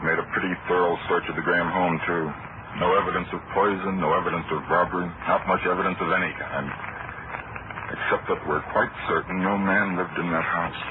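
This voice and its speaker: An actor playing a brisk, probing district attorney with a clipped, authoritative delivery in an old-time radio detective drama. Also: male voice, sits low, level tone, close to an everyday voice. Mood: puzzled. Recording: noisy, tinny, phone-like old radio recording.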